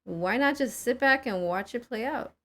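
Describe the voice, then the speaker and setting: A woman's voice: on the high side, lively intonation, normal speed, a bit bright. A woman voicing a wry, probing mentor from a game, colloquial, questioning and sardonic. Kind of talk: reading game cutscene dialogue aloud.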